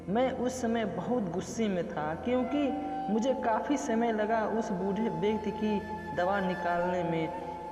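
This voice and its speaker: A man narrating a scripted moral story in the first person. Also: high-pitched. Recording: muffled, echoey reverb with loud background music. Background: music.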